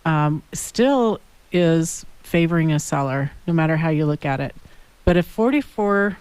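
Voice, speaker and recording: A woman's voice: low voice, lively intonation, unhurried, darkish tone, clean tone, no echo, poor audio. A woman co-hosting a real estate radio talk show, putting follow-up questions to a guest.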